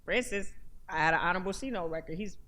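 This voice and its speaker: Bright, animated woman chatting as a guest. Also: fairly low pitch, racing. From California. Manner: animated, laughs often between remarks. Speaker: guest, rapper and actress. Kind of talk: casual interview talk.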